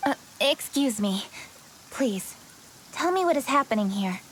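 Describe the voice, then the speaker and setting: A woman's voice: fairly high pitch, expressive, a little slow, a little hiss or hum, low-quality recording. A woman voicing an earnest, resolute young traveler in a video game, composed and polite.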